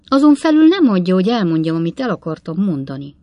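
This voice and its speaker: A woman's voice: lowish voice, big pitch swings, dry recording, muffled recording. A woman narrator puts on a pretty young storybook maid. She is coquettish and exclaims in mock indignation, teasing as she scolds. The sound is dry, with little room around it. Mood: teasing scolding. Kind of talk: audiobook character dialogue.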